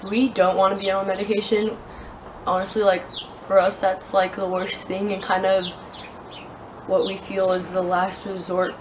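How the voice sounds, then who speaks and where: A woman's voice: mid-range voice, low-quality recording. A young woman with a sore throat talking earnestly to her phone camera outdoors, hesitant and full of fillers. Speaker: vlogger. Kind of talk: casual talking-head vlog. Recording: outdoor wind noise. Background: wind and outdoor ambience.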